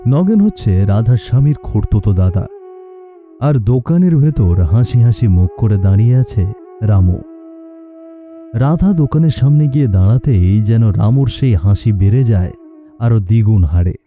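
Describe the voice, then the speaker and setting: Deep-toned man narrating a cartoon. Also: animated, warm tone, soft background music. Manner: dramatic, measured. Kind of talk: scripted storybook narration.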